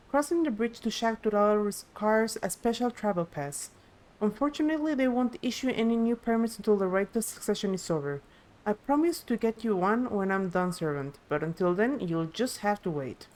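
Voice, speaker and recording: A woman's voice: medium pitch, darkish tone, natural voice. A woman voicing a proud, eager young princess who guides visitors in a video game, earnest and explanatory. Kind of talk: live video game dialogue reading.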